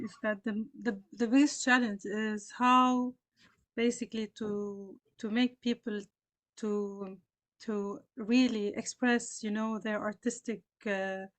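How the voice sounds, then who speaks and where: Earnest Palestinian woman, slightly high voice. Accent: Palestinian and Lebanese Arabic. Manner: earnest, reflective, many fillers and restarts. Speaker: panelist. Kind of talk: panel discussion.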